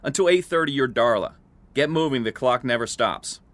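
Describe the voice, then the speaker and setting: A man's voice: medium pitch, lively intonation, moderate pace, fairly bright tone, no echo, lightly acted. A man playing a demanding, ruthless middle-aged boss and father in an audio drama, brusque, bossy and sarcastic. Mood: bossy, brisk.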